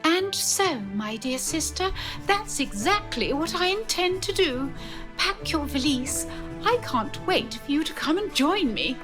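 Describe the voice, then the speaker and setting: A woman's voice: high voice, lively intonation, a little slow, music in the background. A woman playing an earnest, repentant young newcomer in an audio drama about a manor house. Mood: sincere.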